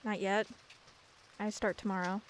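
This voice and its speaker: A woman's voice: medium pitch, measured pace, a little hiss or hum, close to an everyday voice. A young woman playing a sullen, terse seventeen-year-old girl who is new in town, in a radio play. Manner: terse, flat, withdrawn.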